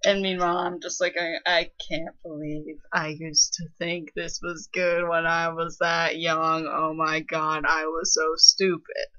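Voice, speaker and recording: A woman's voice: low voice, little pitch movement, measured pace, hardly any pauses, sharp tone. A young adult woman co-hosting with casual, sardonic commentary, recorded on a lo-fi microphone. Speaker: co-host commenting on fan fiction. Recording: dull lo-fi microphone.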